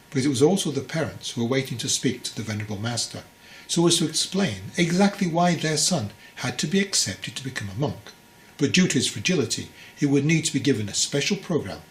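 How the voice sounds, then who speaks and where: A male narrator reads a scripted story in a medium-pitched voice. He moves phrase by phrase, measured, leaving deliberate pauses as he tells it. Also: low-quality recording. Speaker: author reading his own book aloud.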